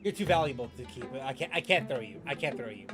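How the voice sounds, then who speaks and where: Casting: male gaming host, mid-pitched and swinging in intonation, sarcastic offhand commentary, game music behind. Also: expressive, fast. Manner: sarcastic, joking asides. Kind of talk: casual playthrough commentary.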